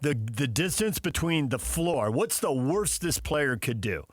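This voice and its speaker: A man's voice: mid-range voice, big pitch swings, measured pace, no echo. An American man hosting a sports talk radio show, bantering with guests and colleagues.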